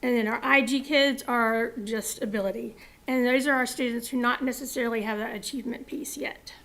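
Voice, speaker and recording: Woman at a school board meeting, voice going, kinda high, lots of ums. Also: fairly even intonation, a little fast, creaky, a bit bright, low-quality recording. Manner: informal, with fillers and explanatory asides. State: losing her voice. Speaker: school district staff presenting to the board.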